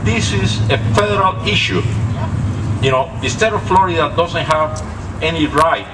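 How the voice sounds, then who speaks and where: A man's voice: a little high, lively intonation, moderate pace. An older man with a Cuban Spanish accent giving an impassioned rally speech as a state representative, repeating words as he goes. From Cuba. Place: outdoor protest rally. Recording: distant mic with loud outdoor noise.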